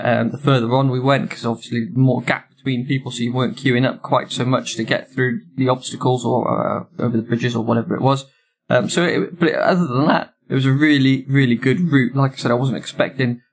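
Sniffly man hosting a podcast. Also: medium pitch. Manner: rambling, self-correcting, many ums and uhs. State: sniffly with a cold. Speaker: podcast host. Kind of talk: solo podcast monologue.

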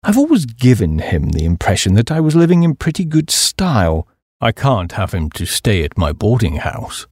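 A man voicing a hapless, dim young upper-class English gentleman who blusters and rambles in slang. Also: fairly low pitch, wildly swooping pitch, moderate pace, slightly rough, no echo, studio quality, exaggerated voice. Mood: gloomy, rambling.

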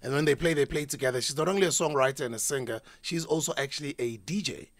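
A man, a DJ and producer guest, praising his collaborator and giving shout-outs in a casual interview. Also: fairly high pitch, fast talker, dry recording.

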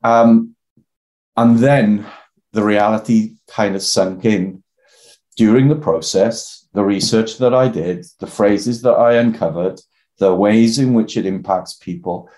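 An older Welsh man from the South Wales Valleys, talking as a guest in a reflective, halting way with many restarts. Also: on the low side, steady tone, darkish tone. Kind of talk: reflective personal interview talk.

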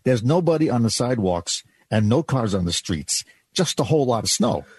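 An actor playing a wry, brisk 1950s department store doorman, mock-formal and teasing, in an old-time radio drama. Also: medium pitch, sing-song, a little mellow, slightly rough. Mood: wry, familiar.

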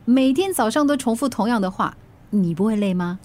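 A woman's voice: a little high, animated, a little fast, a little mellow, natural voice. An actress playing a grumpy, childishly lazy and playful middle-aged mother in a Mandarin radio drama.

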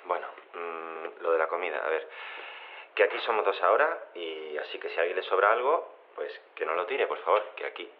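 A man's voice: sits low, expressive, fairly quick, a little hiss or hum. An actor playing an awkward, hesitant, mildly exasperated man leaving a phone voicemail in an audio comedy drama. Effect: phone filter. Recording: muffled narrow voicemail phone line.

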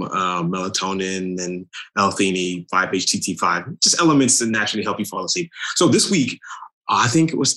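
A man's voice: fairly low pitch, fairly bright tone. A man who is a guest on a faith talk show, telling a personal story in a loose, hesitant way. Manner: hesitant, many fillers and restarts while telling a story. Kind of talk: casual conversation.

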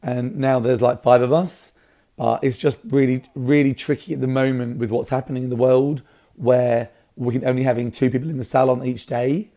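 A man with a slightly raspy voice, talking casually and keenly as an interview guest about his work. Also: medium pitch, little pitch movement, normal speed, warm tone. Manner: enthusiastic. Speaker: hairdresser, salon owner, interview guest.

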